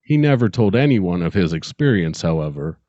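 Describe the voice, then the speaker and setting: Man, middle-aged, podcast storyteller, fairly low pitch, very dry close sound, muffled audio. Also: big pitch swings, moderate pace, mellow, no room sound. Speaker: podcast co-host and amateur short story writer. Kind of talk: dramatic story reading and casual podcast chat.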